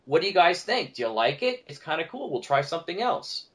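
Male podcast guest, slightly high voice, animated and conversational. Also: fairly quick. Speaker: podcast guest, audio drama producer.

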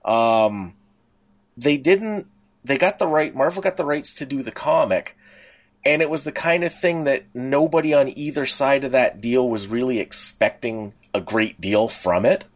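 A man's voice: medium pitch, measured pace. Middle-aged man, rambling podcast chat. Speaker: podcast co-host. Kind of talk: casual nostalgic fan chat.

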